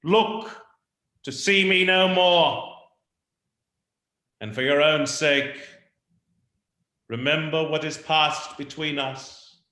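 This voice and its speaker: A tormented male ghost declaims slowly in a roomy echo. His grave, remorseful words swell and sink with theatrical weight, in the manner of a radio play. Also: fairly high pitch, steady tone. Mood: commanding, ominous. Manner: solemn, drawn-out declamation with big swells and hushed drops. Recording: roomy, echoing sound.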